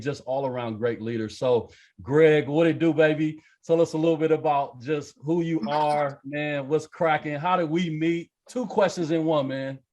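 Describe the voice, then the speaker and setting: A man's voice: medium pitch, darkish tone. A man hosting a church leadership podcast, playful and slangy as he introduces his guest. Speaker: podcast host. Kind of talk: podcast interview.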